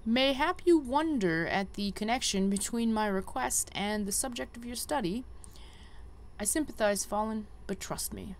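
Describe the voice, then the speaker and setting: A woman's voice: fairly high pitch, lively intonation, moderate pace, a little hiss or hum. A woman voicing an earnest, inexperienced young female conjurer from a fantasy game, polite and hesitant as she reads the quest dialogue. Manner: polite, hesitant, archaic phrasing.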